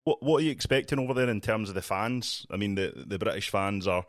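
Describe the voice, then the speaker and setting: A man with a Scottish accent co-hosting a podcast in a small studio, asking friendly questions at a deliberate pace. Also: fairly low pitch, no room sound. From Scotland. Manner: friendly, speaks slowly on purpose. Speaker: podcast co-host. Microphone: studio mic with headphones.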